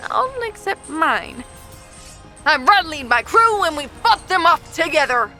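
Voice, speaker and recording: A woman voices a proud river pirate captain in a fan cartoon dub, her voice high and bright. She gives orders with easy, confident command, with faint music behind her. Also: exaggerated voice. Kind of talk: fan animated comic dub.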